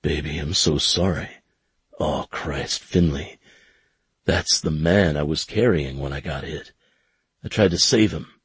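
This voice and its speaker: Man playing an ex-soldier, guilt-ridden, blunt, deep husky voice, dry. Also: a little slow, heavily acted. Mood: grieving. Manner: blunt and anguished.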